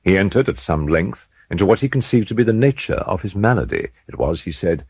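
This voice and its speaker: A man reads gothic fiction in a very low, creaky voice. His delivery is solemn and deliberate, with theatrical phrasing and wide swings of intonation. The script is dramatic narration, shaped like an audiobook. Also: expressive, darkish tone, no echo.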